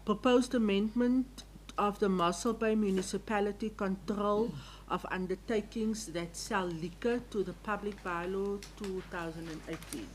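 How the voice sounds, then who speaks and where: A woman's voice: mid-range voice, slight background noise, low-quality recording. Formal woman chairing council. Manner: formal, courteous, procedural. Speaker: council speaker chairing the meeting. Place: council chamber.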